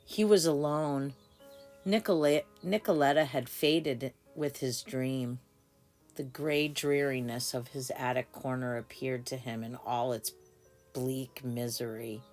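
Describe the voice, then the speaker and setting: A woman reading a children's storybook aloud with deliberate, dramatic phrasing for small children. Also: a bass voice, fairly even intonation, darkish tone, faint music behind. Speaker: story time reader. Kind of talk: expressive children's storybook narration.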